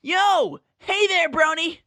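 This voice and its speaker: A woman's voice: extremely high pitch, slow, vocal fry, bright, forceful tone, no room sound, full character voice. A woman voicing a brash, teasing, cocky young male pegasus pony with boisterous slang in a fan-made audio story. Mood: loud, hailing. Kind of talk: fan fiction audio drama.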